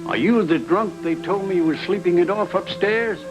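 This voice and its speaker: A man's voice: high voice, moderate pace, over music, close to an everyday voice, low-quality recording. A man playing a wry fellow who ribs people, in a film noir radio drama. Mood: teasing.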